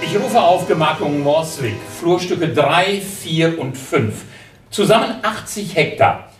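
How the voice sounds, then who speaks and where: A formal court auctioneer. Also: male voice, fairly high pitch, animated, recorded from a distance, music in the background. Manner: formal, measured calling of bids. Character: formal male court auctioneer, middle-aged. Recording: roomy radio drama, auction hall crowd.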